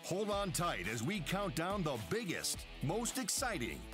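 A man's voice: high-pitched, wildly swooping pitch, unhurried. A man reading a punchy promo voice-over that announces a countdown of catches. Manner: punchy trailer delivery. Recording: voice-over under loud music.

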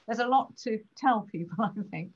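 A woman's voice: on the high side, normal speed. An older woman hosting a conversational interview over a video call. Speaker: interview host. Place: indoors at home, on a video call. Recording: slightly dull video-call audio.

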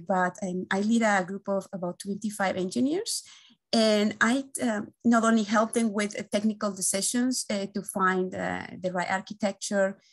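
A woman, giving an earnest, halting webinar presentation as an engineering team lead, often pausing on "uh", over a video call from an indoor room. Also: medium pitch, fairly bright tone. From Guatemala. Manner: earnest, halting, with frequent "uh" fillers and restarts. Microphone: video call webcam.

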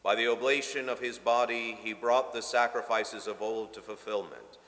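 A low-voiced priest in his thirties recites the Mass prayers with a bright, forceful tone, slowing solemnly on key phrases. His voice rings out through the long reverb of a large marble church, picked up by a stand microphone at the altar.

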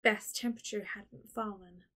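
A woman narrating an audio drama in a measured storybook reading. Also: mid-range voice, steady tone, a bit bright. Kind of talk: scripted narration.

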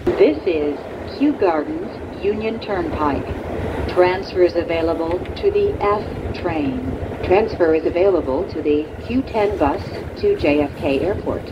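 A woman with a fairly low voice makes scripted subway announcements. She enunciates stop names in a formal, measured way. Train noise is clearly audible behind her. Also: highs cut off. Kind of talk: scripted automated transit announcements. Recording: noisy train-car recording. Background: rail vehicle rumble.

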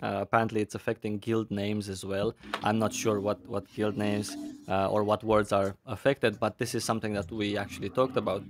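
A man hosting a livestream panel on a headset mic, reading viewer questions aloud with many pauses and fillers. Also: fairly low pitch, level tone, slight background noise. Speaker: host.

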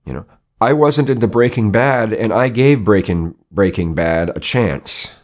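A hoarse middle-aged man talking casually to camera in a rambling opinion vlog, full of restarts and rhetorical questions. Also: fairly low pitch, lively intonation, unhurried. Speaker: vlogger. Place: indoor studio with colored backdrop.

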